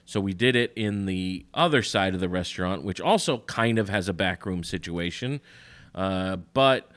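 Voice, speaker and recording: Low-voiced man in easygoing podcast chat. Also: moderate pace, fairly bright tone. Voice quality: says his voice is cutting and carries. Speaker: podcast co-host. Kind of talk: casual chat. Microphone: headphones and studio mic.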